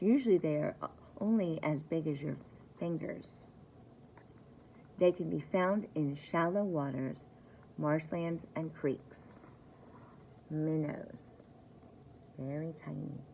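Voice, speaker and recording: Slow, low-pitched woman reading to young children. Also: creaky, warm tone, slight background noise. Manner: simple, deliberate teaching, repeats key words. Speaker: pre-K teacher reading aloud. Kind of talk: children's story time read-aloud.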